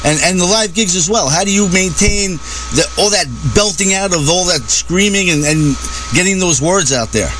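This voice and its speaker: A man's voice: high-pitched, moderate pace, a bit bright, faint music behind, rough recording. A man hosting a radio show, interviewing a singer with fan-like enthusiasm. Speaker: radio host. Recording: clear background noise.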